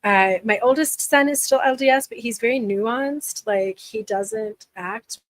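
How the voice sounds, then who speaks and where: A woman's voice: a little high, measured pace, no room sound. A woman in her forties who is losing her voice, hosting a live chat and speaking candidly with frequent restarts. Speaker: livestream host. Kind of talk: casual live chat answering viewers.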